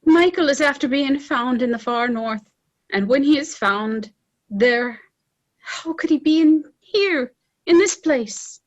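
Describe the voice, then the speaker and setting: Woman playing a high-voiced, slow-speaking sorrowful daughter. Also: animated, muffled recording. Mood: pleading. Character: young Irish village daughter, sorrowful, tender and practical. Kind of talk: stage drama performance.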